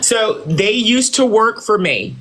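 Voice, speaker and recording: A man doing a condescending boss-and-CEO character on a live stream, full of airs. The voice sits quite high and keeps slipping in "dear" and "honey" as he talks down to the other person. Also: sing-song, slow pace, fairly bright tone, husky, exaggerated voice, rough recording. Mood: smug. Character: haughty self-styled boss and CEO, male. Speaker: livestream host in character. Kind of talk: improvised live-stream roleplay.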